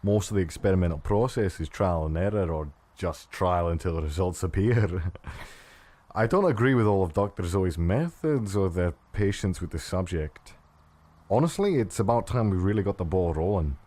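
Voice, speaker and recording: Audio drama medical researcher, male actor: deep, animated, irreverent, hesitant yet eager. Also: moderate pace, no room sound. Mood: wry, hesitant. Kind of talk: fan-made audio drama acting.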